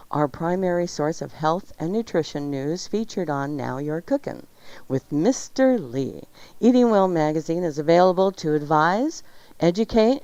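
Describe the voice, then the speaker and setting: A woman's voice: sits low, a little mellow, clean tone, no room sound. A woman hosting a talk show, reading health news and sponsor spots in a playful manner. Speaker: show host. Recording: dull lo-fi audio with music behind.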